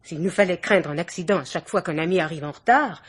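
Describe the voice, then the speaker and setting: An actress playing an imperious, chattering middle-aged society hostess in a theatrical, exclamatory French radio mystery play. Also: female voice, lowish voice, quick pace, breathy edge. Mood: affronted.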